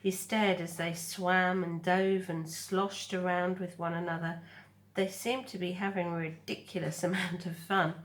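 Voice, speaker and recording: Woman reading a kids book out loud, takes it slow, careful n expressive. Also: fairly low pitch. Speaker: storybook reader. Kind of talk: scripted children's story narration.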